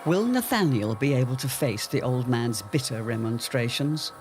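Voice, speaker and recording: A man narrating a dramatic serial in a measured, theatrical, old-time announcer manner. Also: mid-range voice, expressive, loud music behind the voice.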